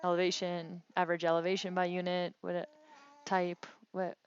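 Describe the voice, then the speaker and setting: A woman's voice: lowish voice, monotone, moderate pace, clean tone, no echo. A slightly muffled, dry phone call recording carries a woman's voice with very little rise and fall. She talks casually through technical details, like a researcher walking a colleague through her work. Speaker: researcher explaining mapping metrics.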